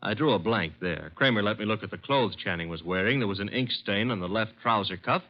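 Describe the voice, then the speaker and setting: A man playing a brisk, wisecracking detective's assistant in an old-time radio drama, with a wry, needling manner. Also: fairly low pitch, expressive, lightly acted. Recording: dull vintage radio recording.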